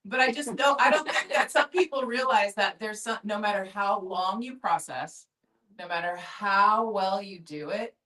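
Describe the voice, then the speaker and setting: A woman's voice: medium pitch, pushed and bright. Emphatic woman, echoey and distant. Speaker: discussion participant. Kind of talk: candid personal sharing in group talk. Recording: echoey room, distant from mic.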